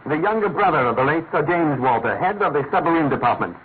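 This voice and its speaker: A man plays a masterful British gentleman detective in a vintage radio play. The voice sits fairly high, theatrical and authoritative, over a tinny broadcast with faint hiss. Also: animated, fast talker, natural voice. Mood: stern, commanding. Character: commanding, incisive English gentleman detective. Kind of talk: old-fashioned British radio drama acting.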